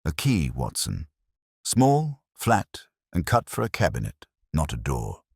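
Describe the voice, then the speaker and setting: A man voicing a Victorian male detective in an audiobook, cool, cryptic and shrewd, speaking in deliberate, commanding aphorisms. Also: extremely low pitch, huge pitch swings, breathy edge, no echo, clean studio sound, exaggerated voice. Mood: shrewd, probing.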